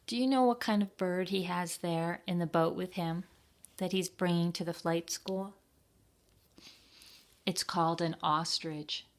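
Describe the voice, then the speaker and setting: Female storytime reader, slightly low register, very leisurely pace, expressive, puts questions to young listeners. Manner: expressive, pauses to ask the children questions. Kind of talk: reading a picture book aloud to young children.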